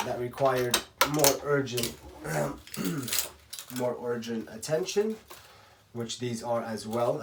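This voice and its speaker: A man from Spain with a medium pitch talks casually through a hands-on tutorial. He rambles a little and tends to clear his throat. Clattering, clicking work noise runs clearly behind him. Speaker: racquet stringer giving a tutorial. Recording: clattering workshop tool noise.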